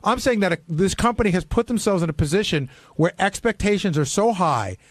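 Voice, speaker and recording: A man's voice: sits high, expressive, fairly quick, dry recording. A man who works on a radio show, arguing casually on air in a defensive, insistent manner. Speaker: radio show staff regular. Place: dry radio studio.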